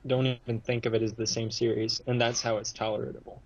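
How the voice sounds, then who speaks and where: A man's voice: mid-range voice, level tone, brisk, husky. A man hosting a podcast, chatting casually as a fan over a muffled lo-fi connection. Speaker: podcast host.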